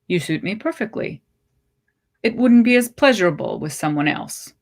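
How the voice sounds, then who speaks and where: A woman reading a short story, voicing a controlling male lover in a cool, measured, unyielding manner. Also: on the low side, big pitch swings, gravelly, exaggerated voice. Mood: cool insistence. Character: calm, possessive, controlling male lover, coolly insistent.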